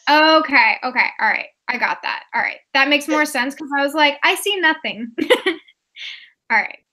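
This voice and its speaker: A woman's voice: high voice, lively intonation, moderate pace, sharp tone. A woman co-hosting a casual book chat, speaking in an informal, rambling way. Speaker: co-host on a readalong live show.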